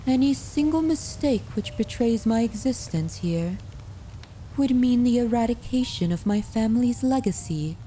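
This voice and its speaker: A woman's voice: a little high, expressive, mellow, a little hiss or hum, natural voice. A woman voicing a centuries-old noble vampire mistress in an ASMR roleplay, speaking formally with courtly, commanding and wistful poise. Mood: wistful, solemn. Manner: formal, unhurried, aristocratic phrasing. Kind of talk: ASMR roleplay audio drama.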